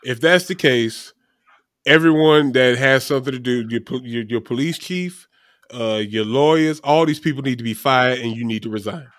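A man's voice: medium pitch, normal speed, a bit bright, no room sound, clean studio sound. A man interjecting indignantly as co-host in a casual chat, a little distant from the mic.

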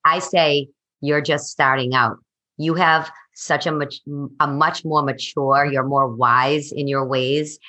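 A woman's voice: sits low, steady tone, slow pace, pushed and bright. A woman in her forties, a fitness coach, speaking in an emphatic, encouraging way. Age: 40s. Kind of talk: motivational interview answers.

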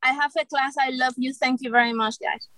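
A woman's voice: high-pitched, fairly even intonation, brisk, bright, forceful tone, no room sound. A woman from Cuba with a Cuban accent, a native Spanish speaker, reflecting in a group discussion and searching for words in non-native English. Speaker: discussion participant.

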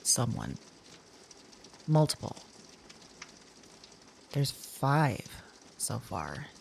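An actress playing a sleepless, haunted woman who confides her dreams to an audio diary, halting and drowsy, sometimes slipping toward a whisper. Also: rumbling low, gravelly, a little mellow, slight background noise, full character voice. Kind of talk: intimate audio drama monologue as recorded diary.

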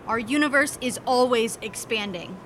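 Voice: medium pitch, moderate pace, fairly bright tone, a little hiss or hum, lightly acted. An actor playing a defiant, indignant scientist in a sci-fi audio drama, dramatic and challenging.

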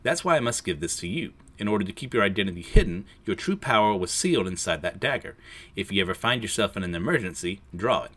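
A man's voice: on the low side, expressive, quick pace, a bit bright. A man playing a solemn, protective guardian woman in a manga retelling, formal and earnest.

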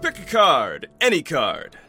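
A man's voice: fairly high pitch, wildly swooping pitch, a little slow, sharp tone, faint music behind, heavily acted. A man playing a showy hired magician in an audio drama, with theatrical, teasing patter that craves applause.

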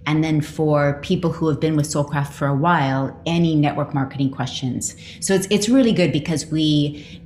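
A woman's voice: low voice, darkish tone. A woman speaking as host and coach on a casual group call, chatty and welcoming, greeting people as she goes. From Australia (lives there). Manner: chatty, welcoming, greets each participant by name. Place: indoor room on an online group call. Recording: roomy echo, faint background noise.

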